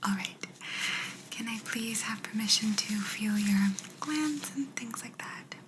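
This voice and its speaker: A woman playing a polite, curious alien examiner in an ASMR roleplay, speaking in a soft, breathy whisper with a gentle, clinical, faintly bemused manner. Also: on the high side, slow, noisy background. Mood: soothing, clinical.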